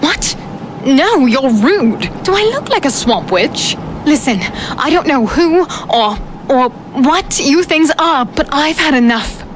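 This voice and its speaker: High-voiced defiant fantasy heroine played by a woman. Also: big pitch swings, slow, a little hiss or hum, heavily acted. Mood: indignant. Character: defiant, indignant human woman fighter narrating her ordeal. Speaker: narrator and heroine. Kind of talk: first-person dramatic storytelling.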